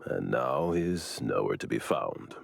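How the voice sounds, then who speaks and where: Low, gravelly, dutiful lieutenant. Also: male voice, sing-song, slow pace, dry recording, exaggerated voice. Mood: dry, exasperated. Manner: dry, formal, terse. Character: stern, dutiful male lieutenant, dry and weary. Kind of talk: anime game voice acting.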